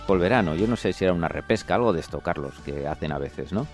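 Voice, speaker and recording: Deep-voiced guy hosting a radio chat, jokey and teasing, bit of music in the back. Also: animated, fast. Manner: jokey, chatty, teasing the co-hosts. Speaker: radio host.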